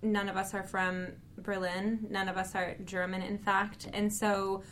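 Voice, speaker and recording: A woman hosting a podcast interview, asking questions in a friendly, steady way. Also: mid-range voice, measured pace, slight background noise. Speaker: podcast host.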